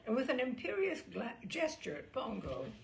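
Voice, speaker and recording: A woman's voice: on the high side, huge pitch swings, normal speed, vocal fry, a little hiss or hum, rough recording. A woman reading a comic story aloud as a scripted narration. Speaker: story reader.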